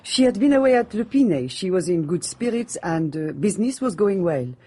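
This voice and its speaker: An actress in a radio drama playing a guarded, defensive maid, formal in speech. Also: female voice, medium pitch, expressive, brisk, warm tone, breathy edge. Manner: formal, guarded, curt denials. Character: guarded, defensive French maid loyal to her dead mistress.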